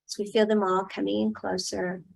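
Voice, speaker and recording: A woman leading a guided meditation in a soothing style, speaking in short, unhurried phrases with long pauses. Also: medium pitch, flat delivery, creaky, slightly rough, low-quality recording.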